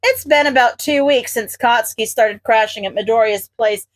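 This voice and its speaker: Woman, story narrator, higher-pitched voice, expressive scripted reading. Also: normal speed. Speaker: podfic narrator.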